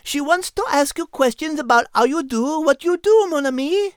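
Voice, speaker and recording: A playful French animal lady with a high voice. She banters teasingly as a children's podcast host. The recording is very dry. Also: expressive, moderate pace, no room sound.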